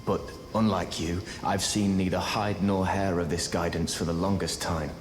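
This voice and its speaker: An actor playing a courteous, wry fugitive sorcerer in a fantasy video game, genteel, chatty and scholarly. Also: male voice, sits low, level tone, moderate pace, slight background noise, lightly acted. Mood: courteous, wistful. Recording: roomy echo with loud game music.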